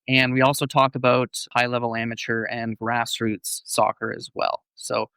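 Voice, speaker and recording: A man's voice: medium pitch, level tone, normal speed, sharp tone, smooth, no echo. A man co-hosting a casual sports podcast, explaining things fluently. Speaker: podcast co-host.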